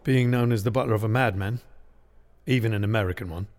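A man's voice: on the low side, quick pace, darkish tone, lightly acted. Reserved, formal butler played by a man. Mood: resigned. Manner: deferential, formal, restrained. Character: polite, reserved, weary household butler. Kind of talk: theatrical radio drama acting.

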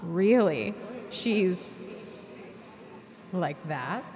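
A woman's voice: on the low side, expressive, a crowd in the background. Woman as a nosy, scandalized teenage girl. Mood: curious, scandalized. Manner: incredulous, halting casual teen talk. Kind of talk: naturalistic audio drama dialogue.

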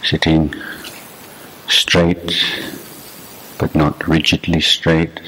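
A man with an extremely low voice leads a meditation practice. He speaks slowly and deliberately, leaving long pauses between short phrases. His delivery stays very even in pitch, like unscripted instruction from a teacher. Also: slight background noise, rough recording. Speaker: meditation teacher, Buddhist monk. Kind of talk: guided meditation instruction, unscripted dharma talk.

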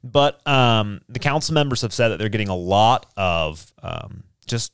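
A man's voice: fairly low pitch, animated, a little slow, clear, resonant tone, no echo, clean studio sound. A man hosting a casual current-events panel chat, talking conversationally and hedging as he goes. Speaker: talk show host. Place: small studio.

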